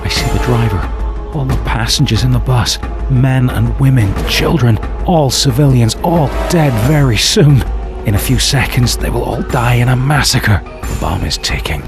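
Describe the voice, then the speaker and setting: A man's voice: normal speed, natural voice. An actor playing a damned, vengeful man in a video game, narrating his fate in an intense, brooding, theatrical way. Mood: desperate. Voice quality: very deep voice. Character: damned, vengeful male antihero, bitter and doomed, recounting his fate. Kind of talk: dramatic first-person game cutscene narration. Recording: loud dramatic music behind.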